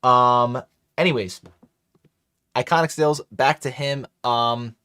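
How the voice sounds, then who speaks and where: A man's voice: medium pitch, steady tone, slow pace, pushed and bright, clean tone, dry recording. Upbeat man hosting a live stream. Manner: chatty, upbeat, talks to chat. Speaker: livestream host. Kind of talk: casual live stream chat.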